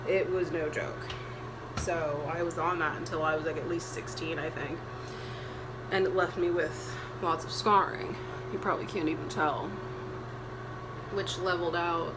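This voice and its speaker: A young woman with a deep voice for a woman, chatting candidly and plainly while getting ready at home. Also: creaky, background noise. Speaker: vlogger. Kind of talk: casual get-ready-with-me chat.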